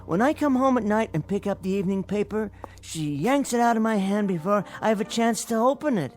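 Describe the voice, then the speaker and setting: An American actor playing a meek, henpecked, middle-aged bank teller in a radio drama, hesitant and apologetic in manner. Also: male voice, high voice, lively intonation, fairly quick, faint music behind. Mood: flustered, pleading.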